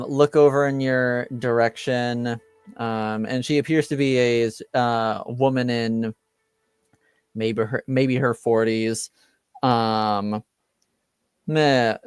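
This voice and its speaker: A man narrating a tabletop roleplaying game as game master, improvising in a halting, hesitant way. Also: mid-range voice, smooth, dry recording.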